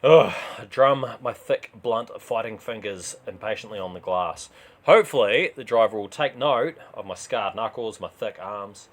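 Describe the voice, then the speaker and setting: A man narrates a crime story he wrote. His voice sits low, and he tells it in the first person as a dramatised reading. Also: normal speed.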